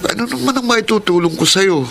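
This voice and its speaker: A man's voice: sits high, animated, brisk, vocal fry, bright, forceful tone, a little hiss or hum, heavily acted. A man acting in a radio drama as a sickly, excuse-making older brother, hesitant and complaining. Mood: reluctant, excuse-making.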